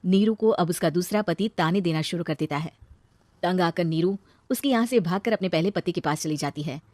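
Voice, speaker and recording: A woman's voice: on the low side, brisk, darkish tone, dry recording. A woman narrating a scripted moral story as the storyteller.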